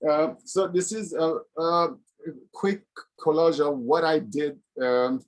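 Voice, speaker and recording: Hesitant middle-aged man giving a talk. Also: fairly high pitch. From Sweden. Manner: halting, earnest, many pauses. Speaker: guest speaker, engineer. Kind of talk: online colloquium presentation.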